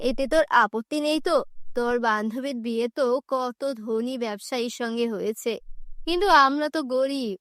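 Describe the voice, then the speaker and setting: A woman's voice: on the high side, fairly bright tone, no echo, studio quality, pitched below the performer's own voice, close to an everyday voice. A woman voicing a worried, poor village mother in a cartoon fairy tale, coaxing and apologetic.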